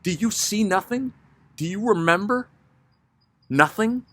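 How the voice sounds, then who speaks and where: A neurotic, anxious woman, acted by a man, pitched high and slightly husky. The delivery is urgent and pleading, pressing out short demanding questions in a dramatic poetry reading. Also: lively intonation, a little slow, sharp tone, full character voice.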